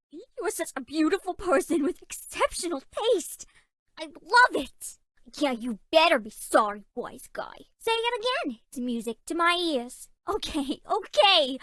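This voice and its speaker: Sassy young woman, dating game sweetheart, swooping high voice, slangy tough teasing, dry studio sound. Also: fairly bright tone, dry recording, full character voice. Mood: playful, impressed. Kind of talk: video game character voice lines.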